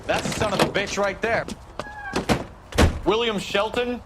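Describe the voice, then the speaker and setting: An actor playing an earnest, by-the-book American police detective in a 1940s crime game, clipped and authoritative. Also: male voice, high-pitched, a little slow, very noisy. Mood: stern, accusing. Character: earnest, by-the-book young male police detective, war veteran.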